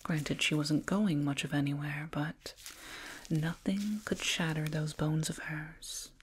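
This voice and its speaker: Slow, breathy whispering woman. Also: sits low, fairly even intonation. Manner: slow, soothing, patronizing, deliberate. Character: condescending, eerily soothing alien dentist tending a human. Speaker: ASMR roleplay performer. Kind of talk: whispered ASMR roleplay. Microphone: close binaural microphone.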